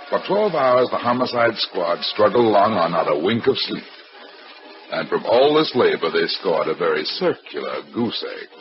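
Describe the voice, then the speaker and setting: An actor playing a hard-boiled private detective in a 1940s radio drama, dry, slangy and wisecracking. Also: male voice, fairly low pitch. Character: wry, hard-boiled male private detective narrating his case. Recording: muffled, noisy vintage radio recording.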